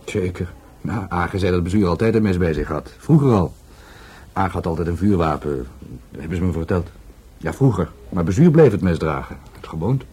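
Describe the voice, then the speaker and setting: Low, husky, intense male suspect. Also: animated, warm tone, heavily acted. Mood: earnest. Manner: halting, repeats words, earnest. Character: intense, talkative male murder suspect helping the police. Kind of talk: Dutch radio play acting.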